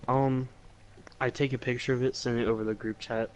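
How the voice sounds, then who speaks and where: A man's voice: mid-range voice, little pitch movement, a little fast. A man chatting casually as a tabletop game player in informal, piecemeal phrases on a lo-fi microphone. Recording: dull lo-fi mic with background noise.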